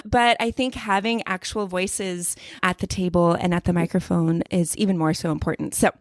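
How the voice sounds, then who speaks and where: A woman from the United States co-hosting a casual podcast, playful and quick to laugh. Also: lowish voice, fairly even intonation, no room sound. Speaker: podcast co-host.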